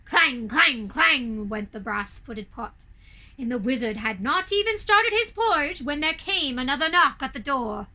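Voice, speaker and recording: Female children's storyteller, theatrical storybook reading: high, bright voice, unhurried and deliberate. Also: lively intonation. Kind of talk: expressive storybook narration for children.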